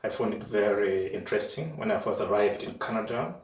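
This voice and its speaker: A middle-aged man, speaking as a panel guest in a halting way full of "you know". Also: on the low side. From Uganda. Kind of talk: panel discussion. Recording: roomy, distant mic.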